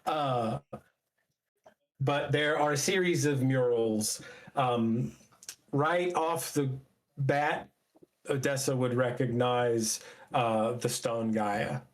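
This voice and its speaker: Male game master, mid-pitched, unhurried and halting, describing scenes off the cuff. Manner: halting, searching for words. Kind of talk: improvised narration of a tabletop game.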